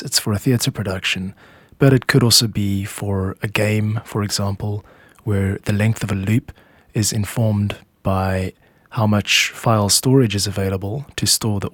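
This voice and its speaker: A man's voice: lowish voice, husky, no room sound. A man narrating a scripted podcast as its host, explaining how music is made.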